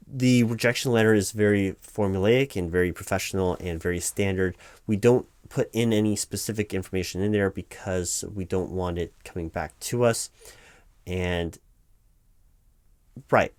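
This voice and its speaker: Man playing a low-voiced, distracted hiring manager. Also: no echo, lightly acted. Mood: distracted, flustered. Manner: hesitant, rambling, officious, loud-spoken. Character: distracted, officious male corporate hiring manager. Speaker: hiring manager conducting a job interview. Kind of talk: one-sided comedic audio roleplay.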